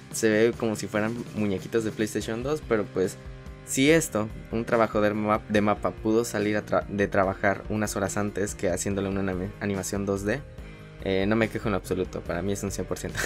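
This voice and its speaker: Male reviewer, lowish voice, chatty and filler-heavy, soft music bed. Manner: chatty, frequent filler "pues", restarts. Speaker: anime reviewer. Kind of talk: casual video review voice-over. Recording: voice-over with faint music behind.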